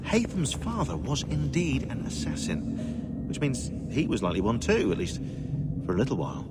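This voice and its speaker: A man's voice: medium pitch, wildly swooping pitch, normal speed. British man, bookish researcher, sardonic, precise, swooping intonation, game cutscene, music in background. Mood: musing. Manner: wry, wordy, precise. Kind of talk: video game cutscene acting.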